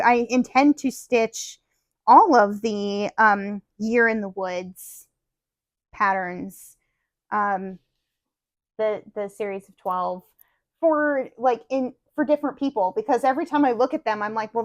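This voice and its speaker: A woman's voice: a little high, a little slow, dry recording. A woman co-hosting a casual stitching chat, rambling with fillers and restarts while losing her voice a little. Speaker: podcast co-host. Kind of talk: casual chat about cross-stitch projects.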